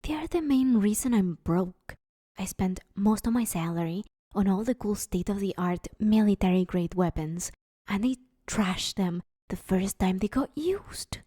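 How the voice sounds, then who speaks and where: A woman's voice: medium pitch, animated, a little slow, mellow, no room sound, clean studio sound. A woman playing a broke, sheepish but scheming villain in a conversational comedy audio roleplay, wheedling her neighbor in an awkward, hesitant way. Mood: defensive, aggrieved.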